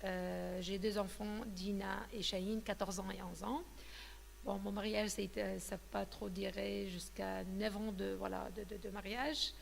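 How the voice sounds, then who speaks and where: A woman in her thirties, from Tunisia, tells her story in an interview with a gravelly edge to her voice. She talks haltingly, with many fillers and restarts. The voice rings with a long reverb, and a faint hum sits underneath. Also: medium pitch, flat delivery. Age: 30s. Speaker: guest giving a testimony. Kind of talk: personal testimony interview.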